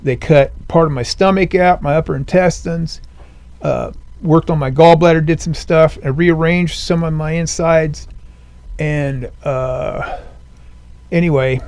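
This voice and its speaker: An older man speaking as an interview guest, telling his own story in a plainspoken, rambling way full of fillers, his voice high and strained since surgery. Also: creaky, a little mellow, no echo. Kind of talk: conversational personal story. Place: veteran center studio.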